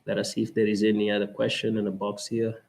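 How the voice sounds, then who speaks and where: A man from Angola with an Angolan Portuguese accent, hosting a podcast and talking in a halting way with many fillers and restarts. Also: fairly low pitch, completely flat delivery, vocal fry, a little mellow. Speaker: podcast host. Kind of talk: casual conference talk.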